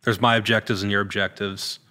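A man's voice: on the low side, little pitch movement, brisk, bright, forceful tone. A man, an actor being interviewed as a podcast guest, answering casually in a thoughtful, searching way. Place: large indoor studio room. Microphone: podcast microphone on a boom arm.

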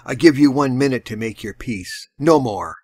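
A man's voice: medium pitch, animated, normal speed, dark tone, breathy edge, no room sound. A man voicing a vengeful, thick-set sailor in an audiobook, blunt and growling with menace. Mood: menacing, angry.

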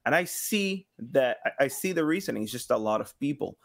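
A man's voice: mid-range voice, expressive, creaky, a bit bright. Male podcast guest, thirties, mid-range voice, conversational, feels his way through sentences and slips into quoted dialogue. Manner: halting, thinks aloud, acts out imagined quotes. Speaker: podcast guest, game sound designer. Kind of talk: casual interview chat. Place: small home room with slanted ceiling, indoors.

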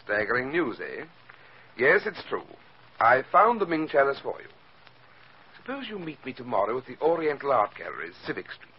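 An actor playing a genteel, scheming criminal mastermind posing as an art collector, suave and fussily courteous in 1940s radio style. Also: male voice, mid-range voice, wildly swooping pitch, husky. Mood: sly delight. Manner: suave, fussy, mock-courteous, deliberate. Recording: dull, noisy 1940s radio recording.

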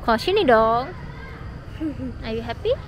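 A woman's voice: fairly high pitch, very animated, slow. An Indonesian woman chatting casually on the go as she films her family with a handheld camera. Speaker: vlogger filming her family. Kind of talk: casual on-the-go chat. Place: outdoors at roadside stall and hotel grounds. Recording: noisy outdoor recording, people and vehicles.

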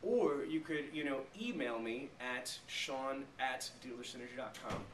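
A man's voice: medium pitch, measured pace, a bit bright. A man in his thirties giving an emphatic sales training talk to a small group, often stopping to ask rhetorical check questions. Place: small meeting room with a flip chart. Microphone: camera mic a few metres away. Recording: echoey room, distant mic, faint noise.

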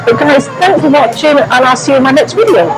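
A young woman vlogger speaks casually to camera with a high-pitched voice. She is in a restaurant, and music plays clearly behind her. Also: animated, fast talker, poor audio. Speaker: food vlogger. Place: indoors, restaurant booth.

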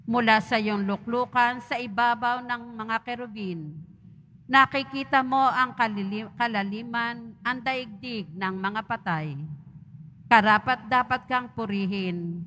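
Slow solemn woman lector in a church. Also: a little high, pushed and bright. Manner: slow, measured, solemn reading. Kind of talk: liturgical scripture reading and responsorial psalm. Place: large church. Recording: long church reverb.

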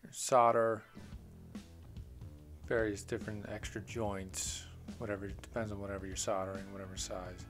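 A man's voice: fairly low pitch, flat delivery, moderate pace, over music. A man, a plumbing contractor, walks through his tools off camera, talking plainly and unhurriedly with many "uh" fillers. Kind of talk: off-camera tool walkthrough.

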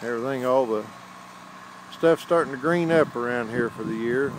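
A man, narrating casually in a folksy, unhurried way while filming his tractor on a small farm field with a handheld phone. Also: mid-range voice. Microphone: handheld phone camera. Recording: outdoor phone audio with tractor engine noise.

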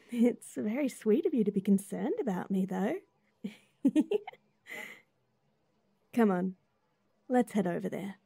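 A woman playing a sweet, bubbly young rental girlfriend in an intimate roleplay, with a giggly, gently reassuring manner. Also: medium pitch, slow, gravelly, long pauses, breathy edge, dry recording, heavily acted. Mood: gentle, reassuring. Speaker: audio roleplay voice actor.

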